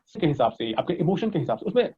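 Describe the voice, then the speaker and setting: A man's voice: on the high side, big pitch swings, fast talker, warm tone. A man with an Indian accent explaining as a coach in an interview, mixing Hindi and English and often checking that the listener follows. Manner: didactic, often checking with "right?" and "okay?". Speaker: voice modulation coach being interviewed. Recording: dull, lo-fi sound.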